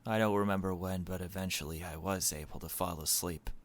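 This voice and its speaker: A man with a fairly low voice hosts a gaming video. He reads visual novel narration aloud and breaks off for his own commentary. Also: steady tone, fairly quick. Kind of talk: let's play commentary and reading game narration aloud.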